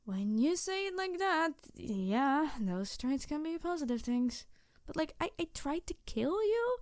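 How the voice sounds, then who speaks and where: A woman playing a snarky, passionate supervillain who is secretly tender, in a halting, sardonic ASMR audio roleplay. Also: high-pitched, darkish tone, no echo, studio quality, voice pushed up. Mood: conflicted, flustered. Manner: halting, sighing, emotionally candid, sardonic.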